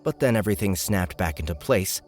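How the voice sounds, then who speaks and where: A man with a very low voice narrates a fantasy audiobook. He reads in a scripted storytelling style. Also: lively intonation, a little fast, darkish tone.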